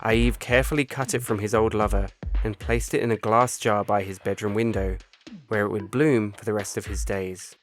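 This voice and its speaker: A man reading his own short story as a scripted literary narration, measured and solemn. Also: lowish voice, steady tone. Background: music bed.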